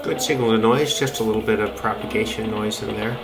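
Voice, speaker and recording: A man's voice: fairly low pitch, steady tone, fairly quick, rough recording. A man giving casual, unscripted commentary as a radio hobbyist, musing and tentative as he thinks aloud. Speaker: shortwave radio hobbyist narrating a video. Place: close to a playing shortwave radio. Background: shortwave radio broadcasts with music.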